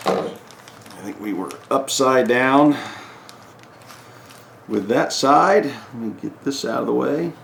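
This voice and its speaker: A man giving a casual hands-on repair walkthrough, halting as he thinks aloud while he works. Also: medium pitch, creaky, a bit bright, slight background noise. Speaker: DIY repair video host. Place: indoors at a home kitchen countertop. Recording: echoey hard-surfaced room.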